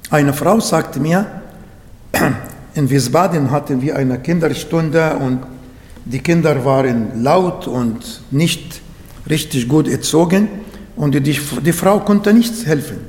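A man's voice: fairly high pitch, darkish tone, reverberant, long reverb tail. A man whose first language is Arabic, preaching a sermon in German, earnest and sometimes searching for words.

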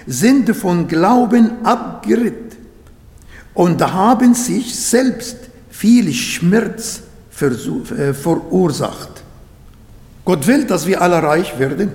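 A man who is not a native German speaker, preaching a sermon in slow, halting German with restarts. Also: high voice, darkish tone. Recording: echoey hall.

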